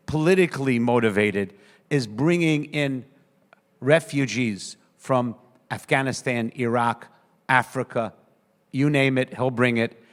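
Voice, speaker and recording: A man with a New York accent, a rabbi giving an earnest, measured conference speech with frequent hesitations. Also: medium pitch, long reverb tail. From United States. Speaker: rabbi speaking on a panel.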